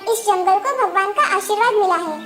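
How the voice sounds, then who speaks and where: A woman's voice: very high voice, fairly quick. A woman voicing a small talking forest bird in a children's cartoon story. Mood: helpful, curious. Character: small talking forest bird, helpful but easily hurt. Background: loud background music.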